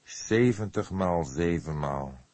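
A man playing a weary, probing old police detective with measured questioning in an audiobook. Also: sits low, lively intonation, a little mellow, no room sound.

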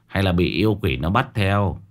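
A man's voice: low-pitched, animated, moderate pace. A man voicing a stern young male shaman who interrogates harshly in clipped phrases.

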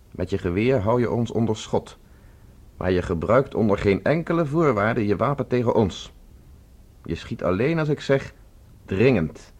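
A resolute fugitive professor in a sci-fi radio drama. He speaks low and a little husky, in clipped, commanding phrases that expect to be obeyed. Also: darkish tone. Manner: terse, imperative, gives orders. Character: commanding escaped professor, prisoner on Mars, urgent and resolute. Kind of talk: Dutch science fiction radio play acting.

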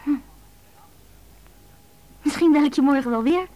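An actress in a radio play playing a shy, hesitant woman who speaks softly and stammers on the phone. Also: sits high, unhurried. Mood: shy, excited. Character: shy, hesitant woman phoning a stranger's answering machine. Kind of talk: naturalistic Dutch radio drama acting.

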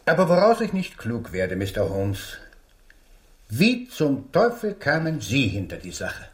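An actor in a German radio drama playing a frank English police inspector with an official, blunt manner. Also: male voice, mid-range voice, wildly swooping pitch, a little slow. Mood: reassuring, then baffled.

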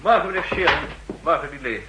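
A man's voice: a little high, expressive, quick pace, lightly acted. An actor playing an aggressive younger male subordinate in a 1960s radio drama, curt and politely barbed. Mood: brisk. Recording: 1960s radio recording with hum and noise.

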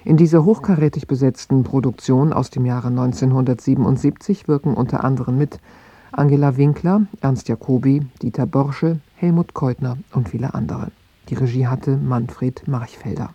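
A man's voice: medium pitch, moderate pace, mellow, slightly rough, dry recording. A man presenting on radio, reading a scripted introduction in a formal, factual manner. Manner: formal, factual read delivery. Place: radio studio. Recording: dry, slightly dull radio studio.

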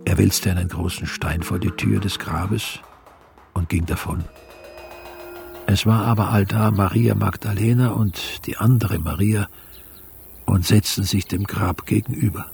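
An actor playing a solemn evangelist, narrating gravely and in measured phrases in a radio drama. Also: male voice, fairly low pitch, steady tone. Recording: loud music behind the voice.

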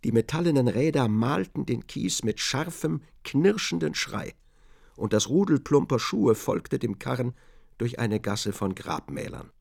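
A man's voice: medium pitch, lively intonation, unhurried, vocal fry, a little mellow. A man reading scripted literary narration for a radio drama.

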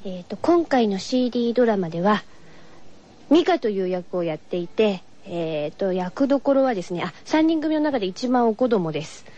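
Soft, mellow-voiced woman guest. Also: medium pitch, sing-song, moderate pace. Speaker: voice actress, interview guest. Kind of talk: informal promotional interview comment. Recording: dull, lo-fi radio recording.

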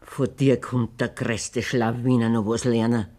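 An actress playing a worn-down but stubborn middle-aged farmer's wife with a Bavarian accent in a German radio play. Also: female voice, extremely low pitch, level tone, unhurried, warm tone. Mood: defiant, scornful. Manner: stubborn, bitterly sarcastic.

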